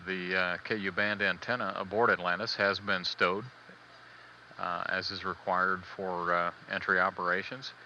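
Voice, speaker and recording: A man giving live spaceflight status commentary as a mission control announcer, measured, hesitant and matter-of-fact, on old band-limited broadcast audio. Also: sits low, flat delivery, bright, forceful tone, dull audio, slight background noise.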